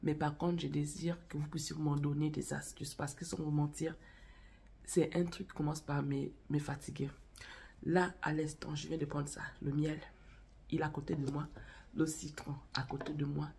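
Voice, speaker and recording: A woman's voice: deep voice, flat delivery, moderate pace, creaky, a little mellow, husky. A woman hosting a casual vlog, chatting directly to viewers and telling a story while she has a cold and a cough. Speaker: channel host. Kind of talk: casual spoken vlog on faith and marriage.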